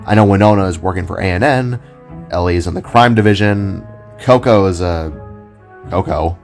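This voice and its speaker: A man playing an earnest, easily amazed young husband in an audio drama, speaking in an animated way over music. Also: deep voice, normal speed. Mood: patient, explaining. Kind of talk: audio drama character acting.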